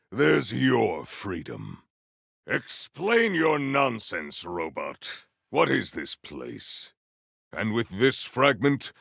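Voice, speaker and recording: Deep, deliberate warrior. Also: male voice, creaky, fairly bright tone, husky, no room sound, studio quality, full character voice. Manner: formal, measured, ceremonious diction, few contractions. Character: stern formal male warrior, proud, battle-hungry, grave and eloquent. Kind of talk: video game character voice lines.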